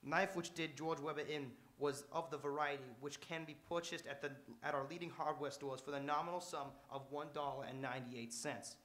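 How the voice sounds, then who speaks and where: A man's voice: fairly high pitch, steady tone, brisk, few pauses, a bit bright, natural voice. A man playing a long-winded, good-natured police sergeant in a live radio drama, speaking with pompous formality. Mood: earnest, officious. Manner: verbose, pompously formal wording.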